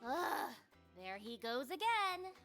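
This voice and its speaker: A very high, bright young schoolgirl in a game. She is anxious, whiny and dramatic, with a lively swooping delivery. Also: slow, soft background music, exaggerated voice. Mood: grumbling.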